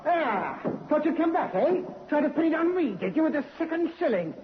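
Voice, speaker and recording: A man's voice: very high-pitched, big pitch swings, a little fast. An actor playing a cocky, sneering male crook in a vintage radio drama. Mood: accusing. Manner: taunting, sneering. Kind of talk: 1950s radio drama acting. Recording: hissy muffled vintage radio recording.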